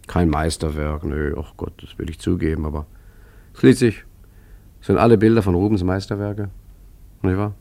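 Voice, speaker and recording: A man's voice: low-pitched, flat delivery, moderate pace, vocal fry, long pauses, dark tone. A man playing an urbane, boastful art forger in a radio play, chatting smugly with many asides and tag questions. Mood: smug, confiding. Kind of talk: radio drama monologue, conversational storytelling.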